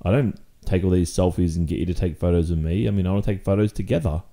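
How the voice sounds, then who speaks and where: A man with an Australian accent playing a jealous, fed-up young boyfriend confronting his girlfriend in an intimate ASMR roleplay, speaking in a halting, conversational way. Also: sits low, fairly even intonation, mellow. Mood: confused, accusing.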